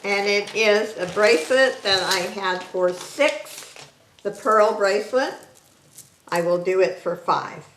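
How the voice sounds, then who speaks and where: Lady with a loud voice selling rings, slow, echoey room, faint hiss. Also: medium pitch. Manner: loud, slow and deliberate, item by item. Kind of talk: casual live-stream selling.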